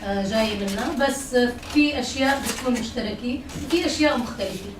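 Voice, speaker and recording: A Syrian-accented woman, native in Arabic, speaks to a group in a fairly high voice at a very rapid pace. She is recorded from a distance in an echoey room with noise behind her. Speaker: event co-organiser and speaker. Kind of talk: spontaneous talk to a group. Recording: echoey, distant mic, clear background noise.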